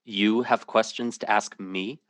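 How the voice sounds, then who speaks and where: A low-pitched American man in a radio drama, earnest and worried about his missing brother. His speech is clipped and impatient, with a hardboiled narrator's edge. The sound is close and dry. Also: steady tone, a little slow, a bit bright, dry recording. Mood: impatient, indignant. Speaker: lead actor and first-person narrator.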